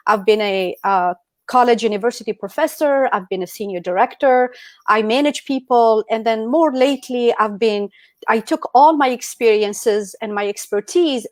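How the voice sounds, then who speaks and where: A woman's voice: fairly high pitch, dry recording. A middle-aged woman from Algeria speaking as a guest presenter in a motivational presentation. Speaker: guest speaker at an online e-commerce summit. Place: indoors on an online video call.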